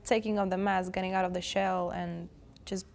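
A woman's voice: lowish voice, little pitch movement, normal speed, creaky, very noisy. A teenage girl in an interview in a school theater, talking reflectively and searching for words. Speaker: student.

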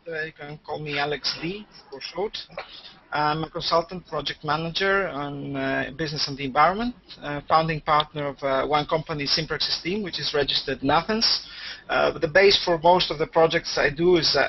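Man, fairly high voice, formal and hesitant, dull call audio. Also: moderate pace, noisy background. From Greece. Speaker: consultant project manager.

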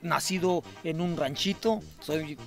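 A man from Jalisco, Mexico, a singer answering interview questions outdoors in a hesitant, halting way. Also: on the high side, faint music behind. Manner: hesitant, halting, many restarts. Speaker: singer guest. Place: outdoor fairground patio.

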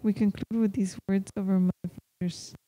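Very dark, mellow-voiced woman interpreting a sermon. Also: medium pitch, steady tone, fairly quick, slight background noise. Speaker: interpreter voicing a priest's homily in English. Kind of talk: live spoken translation of a sermon.

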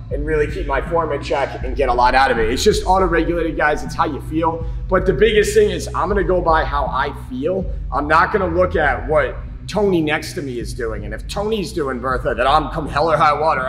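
A man's voice: a little high, fairly bright tone. A man in his thirties with a slight chest cold, giving emphatic, informal fitness instruction to camera as a coach. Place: roomy gym, indoors. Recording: echoey gym room.

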